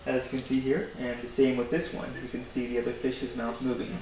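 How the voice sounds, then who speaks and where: An American man giving a casual DIY demonstration of a hobby project, speaking in a hesitant, halting, informal way. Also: medium pitch, completely flat delivery, a little mellow, slight background noise, poor audio. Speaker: hobbyist maker presenting a project.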